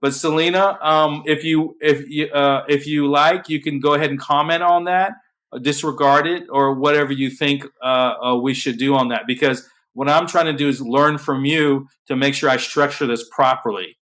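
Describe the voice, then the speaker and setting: Man, monotone talker, fillers and restarts, video call host. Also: a little high, moderate pace. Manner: self-described monotone, filler-heavy, restarts. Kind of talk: casual panel discussion.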